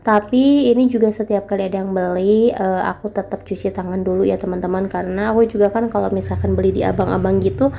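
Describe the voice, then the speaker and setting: A young woman talks in a relaxed, chatty voice-over, her tone mellow and a little nasal from a cold. She keeps turning to her viewers as friends. A faint low rumble sits under the recording. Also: fast, gravelly. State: stuffy nose from a cold. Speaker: vlogger selling meatballs from home. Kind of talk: casual voice-over vlog. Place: home, recorded at night after the day's filming. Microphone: voice-over dubbed separately.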